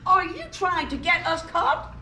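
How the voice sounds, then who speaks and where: A woman's voice: extremely high pitch, animated, unhurried, sharp tone, full character voice. A woman playing a bragging, snobbish young rich skateboarder in an amateur play reading. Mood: bossy. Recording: echoey room with faint noise.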